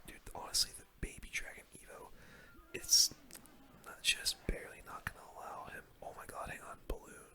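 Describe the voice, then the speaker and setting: A man whispering casual, slangy gaming commentary in a breathy ASMR style, close to the microphone. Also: pushed and bright. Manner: casual, slangy gamer talk. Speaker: gaming video host.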